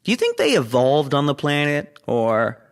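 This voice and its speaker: An actor playing a chatty, teasing colleague on a space station in a sci-fi audio drama, casual and inquisitive. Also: male voice, on the high side, huge pitch swings, moderate pace, clean tone. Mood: curious, teasing.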